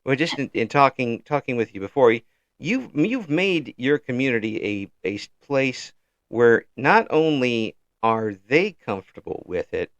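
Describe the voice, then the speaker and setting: A man's voice: mid-range voice, sing-song, unhurried, dry recording, dull audio. A man hosting an interview, giving short encouraging replies. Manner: brief encouraging backchannels. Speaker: interview host. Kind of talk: casual interview chat.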